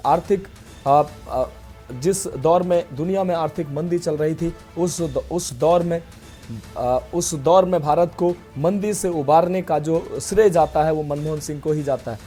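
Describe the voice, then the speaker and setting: Male news anchor, fairly high mellow voice, halting repetitive breaking-news delivery, faint music behind. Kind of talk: breaking news announcement, partly ad-libbed.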